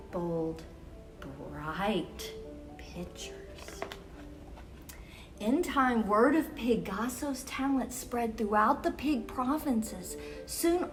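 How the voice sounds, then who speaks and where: A woman reading a picture book aloud to children as a storyteller, expressive and exclamatory, with dramatic emphasis on key words. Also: fairly high pitch, distant mic. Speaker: children's storyteller and event host. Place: University museum gallery.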